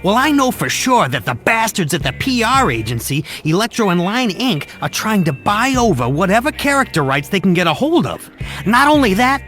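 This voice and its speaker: A man playing an excitable, chatty fixer and comic-book buff who rambles through explanations in an animated game cutscene. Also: high-pitched, moderate pace, faint music behind. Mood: indignant.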